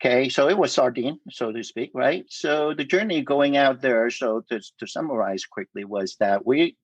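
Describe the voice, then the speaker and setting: A middle-aged man from Vietnam whose first language is Cantonese, telling his life story in a casual interview, halting with many fillers and restarts. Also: mid-range voice, no echo, muffled recording. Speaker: guest.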